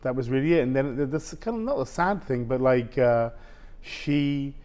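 A man in his fifties, a guest telling a personal story in casual conversation, halting and full of restarts. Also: medium pitch, expressive, a little mellow. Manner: halting, with many restarts and "basically". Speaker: interview guest.

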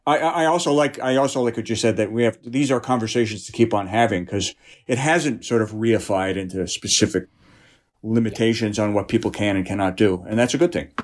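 A man giving conversational interview answers as a guild representative, haltingly repeating words as he explains. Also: on the low side.

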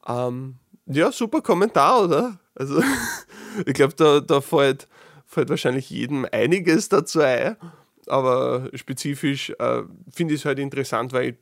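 A man with an Austrian dialect chats through his solo podcast at an ordinary middle pitch, often restarting his sentences as he thinks aloud. His asides come out dry and sarcastic. The recording is close and dead, with no room sound around him. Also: a little slow, vocal fry, dry recording. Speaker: podcast host. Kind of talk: casual solo monologue.

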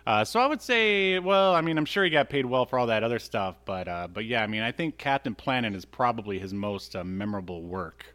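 A man's voice: mid-range voice, expressive, fairly quick, few pauses, fairly bright tone. A man co-hosting a podcast, chatting casually in a joking, teasing way as he recaps things. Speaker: podcast co-host.

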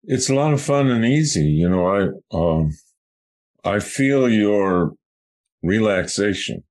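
A man speaking as a podcast guest, answering in an easygoing, relaxed way. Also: fairly low pitch, takes it slowly. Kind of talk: relaxed conversational interview.

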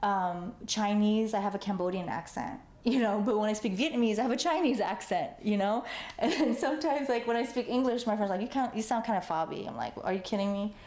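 Interview guest: mellow, filler-heavy woman in a roomy space. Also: medium pitch, a little fast. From United States, Los Angeles. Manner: chatty, lots of fillers, laughs easily. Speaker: artist guest. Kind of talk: conversational interview.

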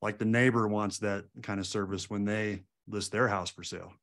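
A man's voice: fairly low pitch, fairly even intonation, moderate pace, a bit bright. A man hosting a casual interview, friendly, giving short prompts and agreeing replies. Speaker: podcast host.

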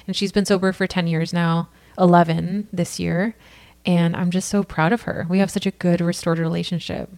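A podcast guest from California speaks in a slightly low voice with sincere, unhurried warmth. Her talk is casual and personal, loosely strung together with "like" and "you know.". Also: flat delivery. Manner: earnest, frequent "like" and "you know" fillers.

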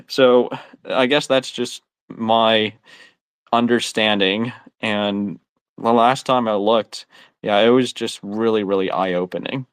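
A man's voice: fairly low pitch, takes it slowly, smooth, no echo. A man co-hosting a casual tech chat, talking hesitantly with many restarts. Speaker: panel co-host.

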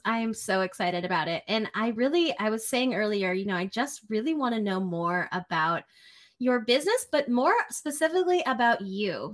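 Upbeat woman podcast host. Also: medium pitch. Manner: enthusiastic, upbeat, uses fillers like you know. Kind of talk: casual interview hosting.

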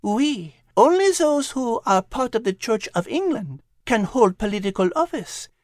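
A woman's voice: on the high side, sing-song, a little slow, a little mellow, no room sound, clean studio sound. A woman voicing a thoughtful, elegant female animal with a French accent, explaining history in an audiobook story.

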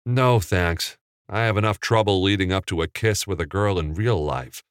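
A man voicing a bold young man who talks in a lively, teasing way. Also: low voice, normal speed, clean tone, dry recording, studio quality, natural voice. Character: young man, time traveler on set, bold.

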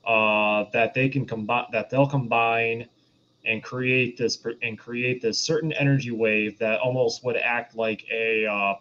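A male podcast co-host explaining things in casual film discussion, rephrasing haltingly as he goes. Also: mid-range voice, fairly even intonation, a bit bright. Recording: dull lo-fi mic, faint noise.

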